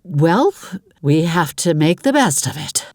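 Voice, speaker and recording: A woman's voice: low-pitched, very animated, unhurried, darkish tone, dry recording, exaggerated voice. A woman playing a caring, determined mother pony in an audio drama, coaxing and motherly. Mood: resolute.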